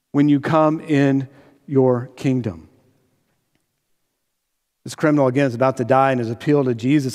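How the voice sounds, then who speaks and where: High-voiced older man preaching in reverberant hall. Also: darkish tone. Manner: conversational, retelling with rhetorical questions and tag "right?". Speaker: pastor preaching. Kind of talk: sermon. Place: large indoor church sanctuary. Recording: reverberant church hall sound.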